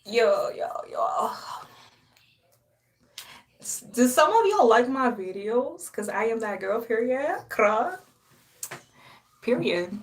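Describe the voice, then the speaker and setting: A woman's voice: a little high, very animated, slow pace, long pauses. A young woman from Haiti chatting casually on a live stream, sassy, boastful and playful, often laughing. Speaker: livestreamer.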